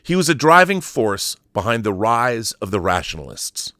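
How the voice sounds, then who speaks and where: A man's voice: fairly low pitch, very animated, moderate pace, pushed and bright, no room sound, studio quality. A man hosting an online show, reading a long article aloud and adding brief asides. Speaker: YouTube host.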